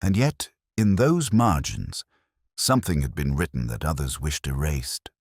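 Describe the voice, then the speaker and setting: Very deep-voiced man narrating a brooding detective story. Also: sing-song, unhurried, a little mellow, breathy edge, no room sound, studio quality. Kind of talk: scripted first-person literary narration.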